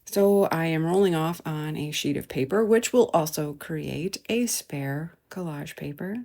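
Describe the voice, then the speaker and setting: Low-voiced woman with a creaky edge. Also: a little slow, warm tone. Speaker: art demonstrator and host. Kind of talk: instructional craft demonstration voice-over.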